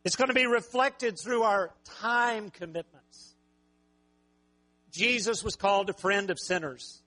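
A man preaching a sermon with a raspy voice, talking conversationally and joking with the congregation. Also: sits high, measured pace, pushed and bright. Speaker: pastor.

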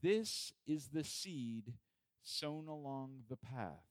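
A man's voice: medium pitch, lively intonation, dry recording. Pastor, a man preaching. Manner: conversational, joking asides to the congregation; slow, deliberate scripture reading. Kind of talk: sermon with scripture reading.

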